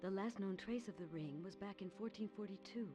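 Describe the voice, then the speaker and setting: A woman playing a troubled but brave young gentlewoman in a video game, earnest and proper. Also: mid-range voice, brisk, music in the background, close to an everyday voice. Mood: earnest, worried. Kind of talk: scripted video game drama.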